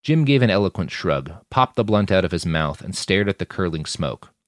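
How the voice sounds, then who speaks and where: A man's voice: low voice, very animated, moderate pace, dry recording, clean studio sound. A man reading scripted fiction aloud as a narrator in an audiobook style.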